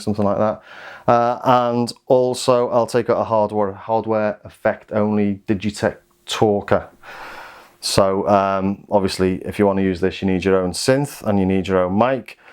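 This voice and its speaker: British guy chatting through a gear review, kinda low voice, rambles a bit. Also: darkish tone. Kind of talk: casual product overview.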